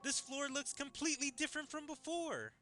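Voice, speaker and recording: A man's voice: extremely high pitch, a little fast, music in the background, voice pushed up. A man voicing an anxious, exasperated fantasy game leader with an urgent, exclamatory delivery. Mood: alarmed, exasperated.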